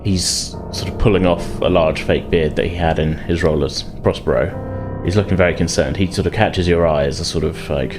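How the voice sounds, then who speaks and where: A man's voice: low-pitched, steady tone, brisk, few pauses, music in the background. A man narrating a tabletop roleplaying game as game master, improvising in hesitant, piecemeal phrases.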